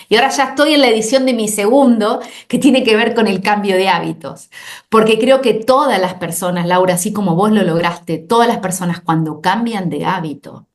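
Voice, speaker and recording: An Argentine woman speaks as a guest doctor on a podcast. She explains things in an earnest, conversational way and often restarts and repeats words as she thinks. Her voice sits at a middle pitch. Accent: Argentine Spanish. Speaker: obesity specialist doctor, guest.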